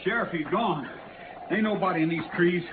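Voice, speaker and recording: An actor playing a deferential, plain-spoken deputy in a 1950s Western radio drama. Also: male voice, sits high, moderate pace, close to an everyday voice. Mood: reporting, uneasy. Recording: scratchy, noisy, narrow old radio recording.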